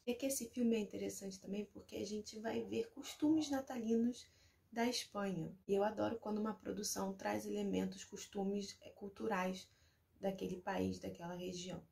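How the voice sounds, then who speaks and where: A young woman from Brazil hosts a relaxed recommendation video. Her voice has a noticeable vocal fry and sits in a middle pitch. She explains things in a chatty way and now and then reads a short synopsis aloud. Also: moderate pace. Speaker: Spanish teacher and video host. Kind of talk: casual recommendation vlog.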